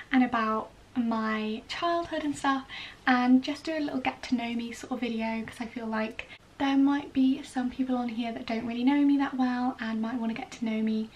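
A young woman from Wales chatting casually to camera in a get-ready-with-me vlog, recorded in a bedroom. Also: a little high. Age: young adult. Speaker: vlogger, ballet dancer in training. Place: small bedroom indoors. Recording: slightly distant mic, clearly noisy room.

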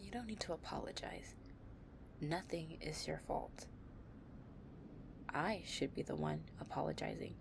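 A woman playing a possessive young gang-leader girlfriend, tough but tender, in an intimate, soft-spoken audio roleplay. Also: low-pitched, unhurried, vocal fry, pauses often, whispered, exaggerated voice. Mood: apologetic, protective.

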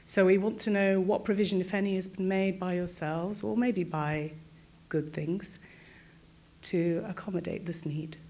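Lowish-voiced woman, direct. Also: steady tone, a little slow, a little mellow. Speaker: audience questioner, advice charity worker. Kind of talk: prepared question from the audience. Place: conference auditorium seating, indoors. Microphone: throwable box microphone.

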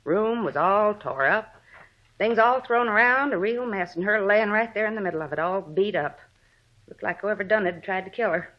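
An American actress playing a gossipy, plain-spoken middle-aged married neighbor in a 1950s radio drama. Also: female voice, medium pitch, moderate pace, close to an everyday voice. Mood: shaken, recounting. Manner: folksy, colloquial, gossipy. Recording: dull vintage radio recording.

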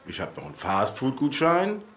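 A man's voice: mid-range voice, wildly swooping pitch, moderate pace, fairly bright tone, a little hiss or hum. An actor playing an old-fashioned, rusty male letterbox in a radio play, pedantic, quarrelsome and needling. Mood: smug, needling. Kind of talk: German radio play acting.

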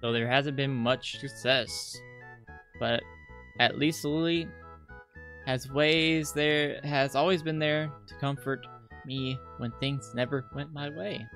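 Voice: mid-range voice, steady tone, slow, a bit bright. A streamer reading a visual novel aloud as narration, stumbling now and then over the text. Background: background music.

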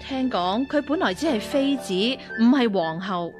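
An actress plays a stern martial nun with a fairly high voice over soft music. She speaks in a measured, aloof, interrogating way, as a cold avenger in a radio drama. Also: lively intonation, close to an everyday voice. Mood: sceptical. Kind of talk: Cantonese radio drama acting.

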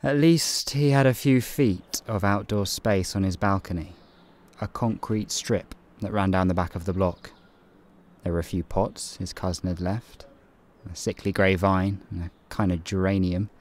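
A man narrating an audio play in a calm, measured and wry way. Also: sits low. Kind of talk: scripted narration. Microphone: home recording booth.

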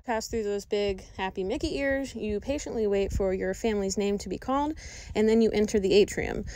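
A woman's voice: mid-range voice, a little fast, warm tone, a little hiss or hum, rough recording. Upbeat woman narrating a travel vlog. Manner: upbeat, explanatory. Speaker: vlog host.

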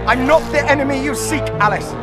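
An actress voices a sly, regal show mistress from a dark video game. Her voice is high and theatrical, full of cryptic taunts. Loud music runs behind her. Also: fast talker, natural voice. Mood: cryptic, taunting. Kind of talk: theatrical game cutscene acting.

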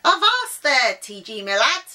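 A woman voicing a genial, weather-beaten old sailor man in a children's story, booming out jovial pirate talk. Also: sing-song, unhurried, pushed and bright, heavily acted. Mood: hearty, welcoming.

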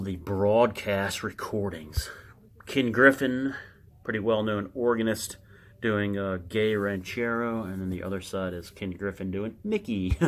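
A man giving casual, unscripted show-and-tell commentary as a collector, halting and muttering to himself as he reads aloud. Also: on the low side, fairly bright tone. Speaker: record collector showing his records.

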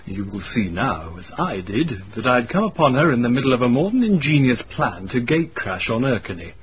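Actor as an urbane, animated gentleman narrator. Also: mid-range voice, normal speed, lightly acted. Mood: wry. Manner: wordy, wry. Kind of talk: dramatic radio acting, narrating. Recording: dull, faintly noisy radio recording.

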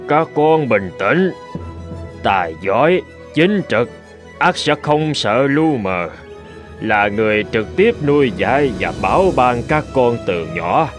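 A solemn, calm old temple abbot. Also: male voice, medium pitch. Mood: thoughtful. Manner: measured, solemn, teacherly. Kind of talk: cartoon folk-tale dubbing. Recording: music under the voice.

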